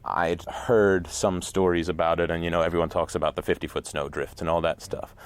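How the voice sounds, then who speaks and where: A man from New York, an actor giving a reflective interview in a halting, thoughtful way. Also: low voice, level tone. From New York, United States. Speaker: actor interviewed about his part.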